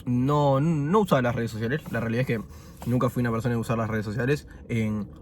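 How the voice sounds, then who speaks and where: A man's voice: medium pitch, fast, slight background noise. Twenties man with a Buenos Aires accent, easygoing vlogger chatting between bites, loose and rambling. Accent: Argentine Spanish, Buenos Aires. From Argentina. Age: 20s. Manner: relaxed and chatty, many fillers, talking with his mouth full at times. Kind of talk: casual first-person vlog while eating. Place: indoors, eating fast food.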